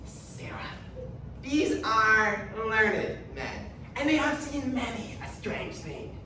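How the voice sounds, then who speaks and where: An earnest Puritan husband acted by a woman, credulous and declamatory, with a bright, forceful edge and lively rise and fall. It sounds like stage drama recorded at a distance in an echoing hall. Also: on the high side, takes it slowly, exaggerated voice. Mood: earnest accusing. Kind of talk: theatrical stage acting. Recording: echoey hall from far off, faint noise.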